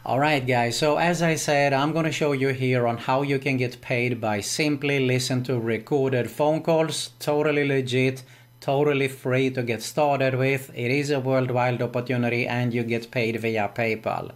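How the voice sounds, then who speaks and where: A man's voice: mid-range voice, steady tone, normal speed. A man hosting an online tutorial, narrating step by step in a salesy way with repeated stock phrases. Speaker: video host. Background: background music.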